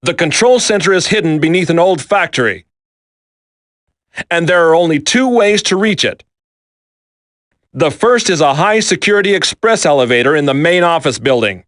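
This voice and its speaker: A stern squad leader in a video game. He briefs with clipped, emphatic authority in a slightly high voice, recorded dry and close. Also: fairly bright tone, no echo. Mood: focused, commanding. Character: stern, no-nonsense male special forces team leader.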